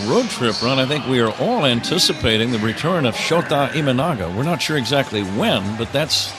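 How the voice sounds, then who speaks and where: A man's voice: mid-range voice, fairly quick, over music. A man calling a baseball game live on the radio as the play-by-play announcer, with the ballpark behind him. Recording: steady ballpark ambience behind the voice. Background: outdoor ballpark ambience.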